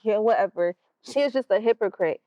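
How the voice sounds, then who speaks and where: A woman's voice: medium pitch, fairly quick, dry recording, muffled recording, lightly acted. A woman with an American accent playing a defiant, sullen sixteen-year-old daughter in a stage play, blunt and sulky. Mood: resentful, guarded.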